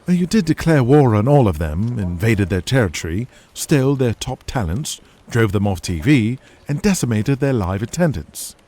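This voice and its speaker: A man's voice: mid-range voice, very animated, normal speed, few pauses, mellow. A man voicing a blunt, exasperated adviser to a boss in an audio drama, urgent and scolding.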